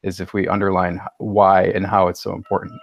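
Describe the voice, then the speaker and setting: A man speaking in a measured, thoughtful way as a panelist in a casual podcast discussion. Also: sits low, flat delivery, gravelly, lo-fi sound, slight background noise.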